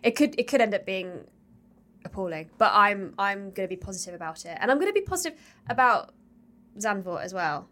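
A woman's voice: mid-range voice, very animated, fairly quick, a bit bright. A woman co-hosting a casual chat podcast, upbeat and enthusiastic. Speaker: podcast co-host.

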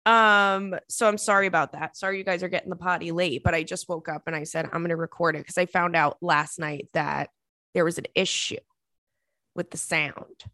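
A woman in her thirties with a little rasp in her voice, chatting casually as she hosts a podcast from her kitchen table. She tells stories in a lively, gossipy way, and the sound is dry and close with no room echo. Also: on the low side, moderate pace. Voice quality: slightly raspy. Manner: chatty, animated storyteller. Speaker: podcast host. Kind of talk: casual chat.

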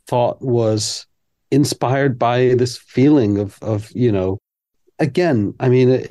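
Mellow-voiced man, candid. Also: mid-range voice. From Iceland. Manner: candid, reflective, thinks aloud. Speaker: composer guest. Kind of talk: casual interview chat.

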